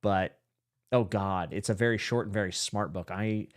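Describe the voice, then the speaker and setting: Male podcast host, slightly low voice, loose self-deprecating chatter with frequent laughs. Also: steady tone, normal speed. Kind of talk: casual livestream chat.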